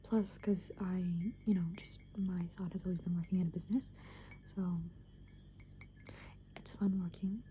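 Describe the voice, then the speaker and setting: A young woman whispering close to the microphone, rambling softly and casually with plenty of "like" and "um" as she talks. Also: lowish voice, steady tone, moderate pace, pauses often, slight background noise. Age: young adult. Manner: soft unvoiced whisper, rambling with many fillers. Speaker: ASMR creator. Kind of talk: whispered ASMR soft rambling.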